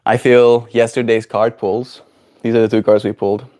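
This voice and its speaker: A man with a South African accent and a voice a little lower than most men's hosts a relaxed livestream. He thinks aloud in a meandering, reflective way and keeps tossing in "right?" as he goes. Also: normal speed. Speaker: livestream host. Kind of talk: casual livestream chat.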